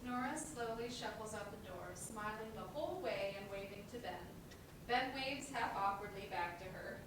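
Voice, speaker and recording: Female stage-direction narrator, scripted read, heavy room echo and loud background noise. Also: medium pitch, normal speed. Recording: very echoey room, distant mic, loud noise.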